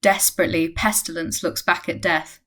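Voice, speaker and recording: A woman's voice: on the low side, fairly even intonation, normal speed, a bit bright, close to an everyday voice. A woman reading scripted narration for a radio play.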